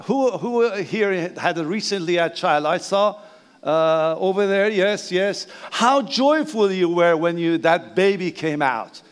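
Older male pastor, Persian accent, high-pitched, halting delivery in a reverberant church hall. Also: expressive. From Iran. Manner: hesitant, halting, repeats phrases. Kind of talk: bilingual sermon. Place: church sanctuary.

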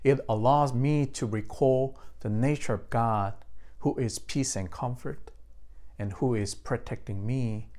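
A man's voice: medium pitch, measured pace, warm tone. Korean guy pastor giving a sermon, korean accent, talks right to the congregation.

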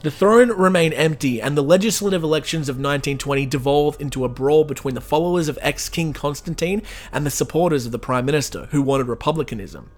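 Joking self-mocking male podcast host. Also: mid-range voice. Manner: self-mocking, rambling, jokey. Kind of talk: scripted narration then casual sign-off.

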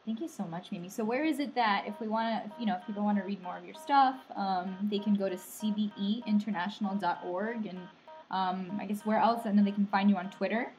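A woman's voice: mid-range voice, brisk, darkish tone, rough recording. A woman hosting a casual podcast interview, asking questions in an informal, tentative way.

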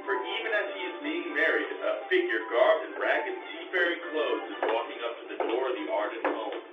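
An actor playing a grandiose radio-play narrator, portentous and theatrical in old-time style. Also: male voice, fairly high pitch, sing-song, a little fast. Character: grandiose melodramatic male narrator. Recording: echoey, distant, dull, loud music behind. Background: loud music.

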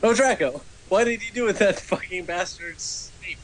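A man voicing a melodramatic, whiny teenage goth girl in an overwrought, drawn-out mock-dramatic reading. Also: high-pitched, a little hiss or hum, lightly acted, low-quality recording. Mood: concerned, dramatic.

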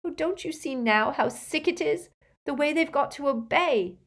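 A woman's voice: on the high side, a little slow, voice pushed up. A woman voicing a compassionate, emotional teenage girl in an audiobook, earnest and pleading. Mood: distraught pleading.

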